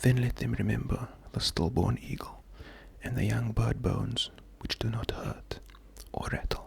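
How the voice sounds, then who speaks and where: A man doing a slow, soft-spoken ASMR poetry reading, gentle and calming, half-whispered with a breathy, creaky voice. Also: on the low side. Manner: gentle, unhurried, calming, often repeating words. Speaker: ASMR host.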